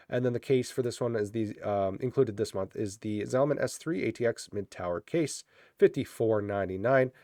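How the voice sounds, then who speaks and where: A man's voice: medium pitch, no room sound. Nasal man with a cold, dry sound. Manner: relaxed, hesitant with frequent fillers and restarts. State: has a cold, slightly nasal. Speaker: host of a PC build channel. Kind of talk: casual product rundown.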